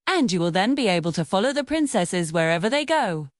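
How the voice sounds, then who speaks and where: A woman's voice: mid-range voice, very animated, fairly quick, no room sound, studio quality, voice pushed down, close to an everyday voice. A woman voicing a shrewd, helpful old woman who gives instructive advice in a storybook tale. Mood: advising.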